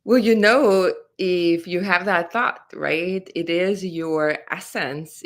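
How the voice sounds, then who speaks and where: A woman from Latvia, a career mentor, giving earnest, measured answers with a spiritual bent in an interview. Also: fairly low pitch, fairly bright tone.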